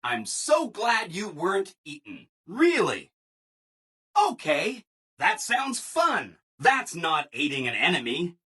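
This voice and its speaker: Eager-to-please game robot, chipper, gushing, bright forceful tone, swooping pitch. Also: male voice, sing-song, slow, slightly rough, heavily acted. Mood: chirpy, eager. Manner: chipper, exaggeratedly agreeable, gushing. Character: relentlessly cheerful, eager-to-please male robot assistant. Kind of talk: video game character dialogue.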